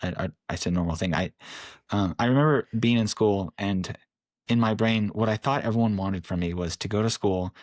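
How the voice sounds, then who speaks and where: Deep-voiced reflective young man. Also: moderate pace, dry recording, clean studio sound. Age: 20s. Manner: reflective, candid, laughs often. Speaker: podcast guest. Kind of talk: casual interview.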